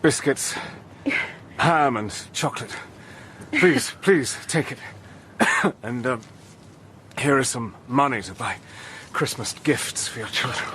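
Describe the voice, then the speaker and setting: A man's voice: mid-range voice, background noise, close to an everyday voice. A man playing a feverish, coughing fugitive agent. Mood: urgent, grateful. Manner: halting, hesitant, courteous. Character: feverish British agent hiding in wartime Germany, man, resourceful. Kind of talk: radio drama acting.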